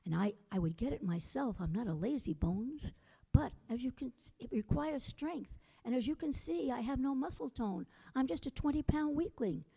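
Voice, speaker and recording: A woman voicing a headless male skeleton ghost with a low, slow, drawn-out spooky voice, using puns and speaking solemnly. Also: expressive, gravelly, dark tone, husky, full character voice, low-quality recording.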